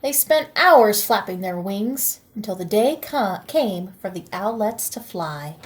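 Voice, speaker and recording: Slow, gentle woman reading a storybook. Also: mid-range voice, expressive. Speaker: teacher. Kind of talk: children's picture book read-aloud.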